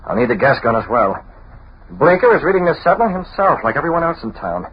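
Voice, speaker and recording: An actor playing a confident, decisive crime-fighting publisher in a 1940s radio drama, brisk and assured. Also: male voice, fairly high pitch, very animated. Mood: determined. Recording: muffled, hissy old radio recording.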